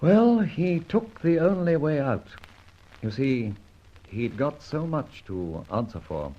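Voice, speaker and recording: An actor playing an urbane, whimsical gentleman adventurer in old-time radio drama, with a theatrical, wry, mock-formal way of talking. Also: on the high side, expressive, slow. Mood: wry, matter-of-fact. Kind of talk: old-time radio drama acting and first-person narration. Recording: dull vintage radio recording.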